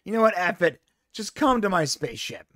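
Higher-voiced opinionated podcast co-host man. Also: fairly quick, no room sound, studio quality. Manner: animated, opinionated, exasperated asides. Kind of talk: casual review chat.

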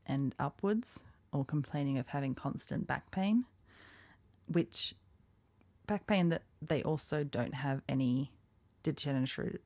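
Slow, low-voiced young woman podcaster. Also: vocal fry, a little mellow, no room sound. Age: 20s. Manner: chatty, self-deprecating, laughs often. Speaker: podcast host. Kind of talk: casual solo podcast chat.

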